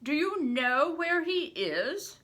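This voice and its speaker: A grandmother doing slow, grave male conspirators. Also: high voice, very animated, fairly bright tone, voice pushed up, full character voice.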